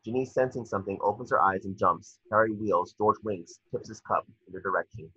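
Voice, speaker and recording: A man with a husky voice reading screen directions as narrator at a table read over a video call. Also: fairly low pitch, fairly quick, sharp tone, no echo. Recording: muffled lo-fi video call audio.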